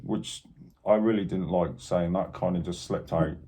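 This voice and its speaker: A man's voice: deep voice, normal speed, warm tone. A Scottish man co-hosting a casual football chat, blunt and teasing in his opinions. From Scotland. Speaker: podcast co-host.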